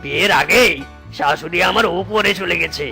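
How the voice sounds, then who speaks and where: A man's voice: high-pitched, moderate pace, vocal fry, over music. A man voicing a teasing, self-pitying male animal neighbor in a cartoon. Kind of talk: exaggerated cartoon voice acting.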